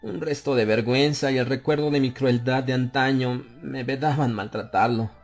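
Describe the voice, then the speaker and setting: Brooding male audiobook narrator over soft music. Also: medium pitch, steady tone. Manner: slow, brooding confessional delivery that rises to anguished outcry. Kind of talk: dramatic audiobook reading of a horror tale.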